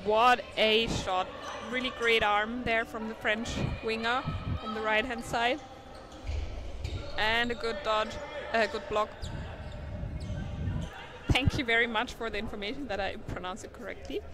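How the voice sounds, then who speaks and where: Woman, non-native English speaker, live sports commentator; fairly high, chatty delivery over loud hall noise. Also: fairly even intonation, measured pace. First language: not English. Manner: chatty, thinks aloud, stumbles on names. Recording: noisy sports hall ambience. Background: bouncing balls and hall noise.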